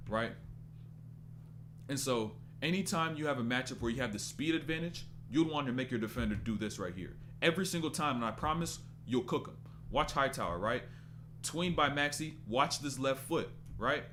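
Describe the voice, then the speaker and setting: A man's voice: medium pitch, background noise. A man in his twenties doing casual live-stream basketball commentary, loose and rambling as he stops to answer chat. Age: 20s.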